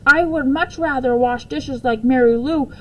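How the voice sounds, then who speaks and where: Woman playing a young schoolgirl, higher pitch, whiny, complaining, exaggerated, children's storybook. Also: normal speed, darkish tone, muffled recording, slight background noise, close to an everyday voice. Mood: grumbling. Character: young schoolgirl grumbling about her chores.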